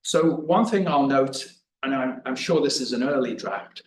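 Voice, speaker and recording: A man's voice: medium pitch, normal speed. A man giving a chatty, self-deprecating discussion of a paper at a conference, often restarting his words. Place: conference room. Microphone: away from the room microphone. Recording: roomy, distant mic, faint noise.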